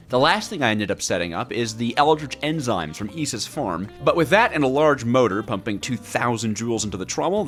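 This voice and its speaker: A male gaming narrator with a very lively, swooping delivery. He reads scripted story narration in a theatrical, mock-grandiose manner, with light music behind him. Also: on the low side.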